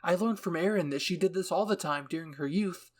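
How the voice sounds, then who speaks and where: A man voicing an excitable, stubborn scientist woman who thinks aloud eagerly, in an anime fan-fiction reading. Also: high-pitched, fairly quick, voice pushed up. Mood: excited musing. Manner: breathless enthusiasm, thinking aloud.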